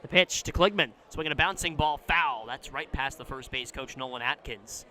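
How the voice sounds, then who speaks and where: Bright, forceful American baseball announcer, male. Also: mid-range voice, slight background noise. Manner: measured description, excited on big plays. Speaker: baseball radio play-by-play announcer. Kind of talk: live sports commentary. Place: outdoor ballpark broadcast position.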